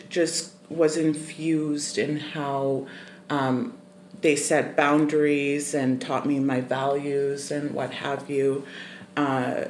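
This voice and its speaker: A young adult guest from Zimbabwe, telling a personal story in a thoughtful, measured way with many pauses and ums. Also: mid-range voice. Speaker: podcast guest. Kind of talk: casual interview storytelling. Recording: roomy echoey room, faint noise.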